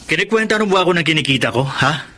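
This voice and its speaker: An actor playing an abusive young husband hooked on drugs, speaking in a snapping, threatening way. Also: male voice, fairly high pitch, expressive, fast talker, sharp tone. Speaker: radio drama actor. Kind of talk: radio drama acting.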